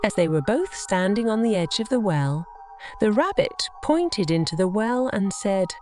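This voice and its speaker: Expressive woman reading bedtime stories. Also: mid-range voice, a little slow. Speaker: storyteller. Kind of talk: scripted children's bedtime story narration. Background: music.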